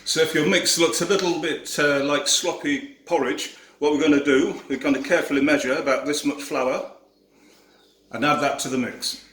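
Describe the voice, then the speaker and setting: A man with a Yorkshire accent giving a relaxed cooking demonstration in his home kitchen, easygoing and self-deprecating as he works. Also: a little high, moderate pace, very noisy. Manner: easygoing, self-deprecating, talks while working. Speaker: cooking video host. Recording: echoey kitchen with clattering dishes.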